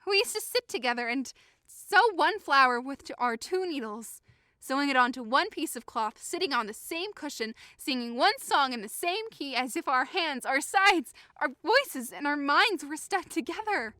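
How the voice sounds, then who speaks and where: A woman's voice: high-pitched, animated, normal speed, pushed and bright, dry recording, clean studio sound. A woman playing a hurt, indignant young woman in a modern-English Shakespeare audio drama, impassioned, accusing and sarcastic. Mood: wounded, pleading. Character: hurt, indignant young woman convinced she is being mocked.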